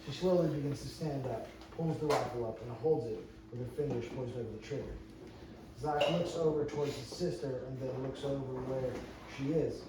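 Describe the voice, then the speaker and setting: A man's voice: medium pitch, moderate pace. Man narrating screenplay directions in an echoey room. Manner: plain, stumbles and rereads phrases. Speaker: narrator reading stage directions. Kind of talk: amateur table read of a screenplay. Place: indoor room with a few other readers. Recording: echoey room, distant mic, noisy.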